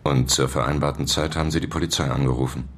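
An actor playing a blunt, world-weary journalist in a radio drama, speaking in a direct, dry and pressing way. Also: male voice, extremely low pitch, fairly even intonation, fast. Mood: probing, dry. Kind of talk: German radio drama acting.

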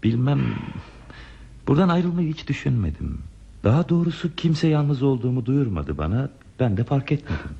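Radio-play hermit: lonely, polite, pensive, mellow voice with expressive swings, muffled recording. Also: male voice, medium pitch, moderate pace, gravelly, husky, exaggerated voice. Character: lonely, gentle hermit man living in a remote place. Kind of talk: Turkish radio theater acting.

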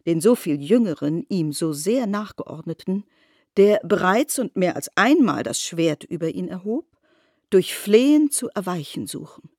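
A woman narrating a literary radio drama in deliberate, elevated phrasing. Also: lowish voice, expressive, darkish tone, dry recording, clean studio sound.

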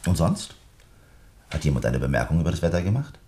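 A man playing a courteous, methodical police inspector in a German radio play, questioning politely, deliberately and insistently. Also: rumbling low, big pitch swings, warm tone, heavily acted. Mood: polite, probing.

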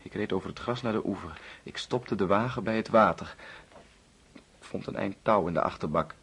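Anguished young man in a radio play, rough unclear voice, guilty confession, stumbles over words, intense. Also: low-pitched, fairly bright tone, exaggerated voice. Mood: haunted confession. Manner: halting, repeating words, intense, accusing outbursts. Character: anguished young man confessing to causing his friend's death. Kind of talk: radio drama acting.

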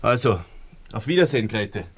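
A man's voice: on the low side, expressive, normal speed, slight background noise. An actor with an Austrian accent playing an educated, melancholy man in love, speaking with dry irony in a radio drama. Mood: ironic, wistful. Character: ironic, melancholy man in danger, hopelessly in love.

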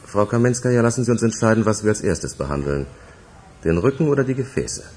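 Very deep-voiced stern male doctor. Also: big pitch swings, quick pace, a little mellow. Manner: authoritative, clinical. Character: stern, matter-of-fact male sanatorium doctor. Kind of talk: German radio crime drama acting.

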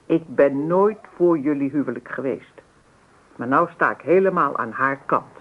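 A woman's voice: extremely low pitch, normal speed. An actress playing a fussy, reproachful older grandmother who scolds and dotes in a radio drama. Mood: stern, scolding. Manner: reproachful, fussing, clucking with interjections. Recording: tinny, narrow-band, noisy old radio recording.

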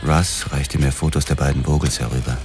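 Very deep, gravelly noir detective. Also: male voice, fairly even intonation, fairly quick, a little mellow, soft background music. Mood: detached. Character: world-weary male police detective narrating his case, hard-boiled. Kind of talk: scripted first-person noir narration.

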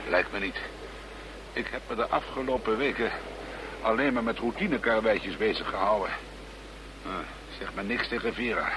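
An actor playing a gruff, sardonic investigator who leads a case in a radio play. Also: male voice, fairly low pitch, normal speed, pauses often, lightly acted. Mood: tense, serious. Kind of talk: scripted radio play acting. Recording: faint background hum and noise.

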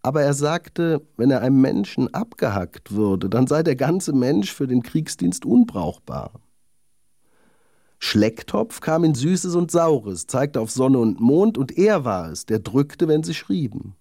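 A man's voice: medium pitch, big pitch swings, measured pace, vocal fry, mellow, dry recording, clean studio sound, full character voice. A man voicing a vain, haughty young lady darning needle in a children's fairy tale, proud and genteel in manner. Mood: boastful, scornful. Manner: proud, self-satisfied, genteel.

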